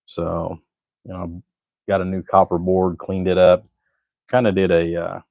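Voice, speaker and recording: Very low-voiced, laid-back man, dull dry recording. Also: monotone, measured pace, creaky, no room sound. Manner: rambling, laid-back, folksy. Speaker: livestream host, hobbyist amplifier builder. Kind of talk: casual livestream chat while working at a bench.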